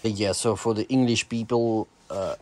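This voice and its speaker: Low-voiced man rambling while working. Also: fairly even intonation, moderate pace, a little mellow. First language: Dutch. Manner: rambling, many fillers and restarts, muttering to himself. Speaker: online brick store owner. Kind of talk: casual chat while working. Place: cluttered attic storeroom, indoors. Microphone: body-worn camera.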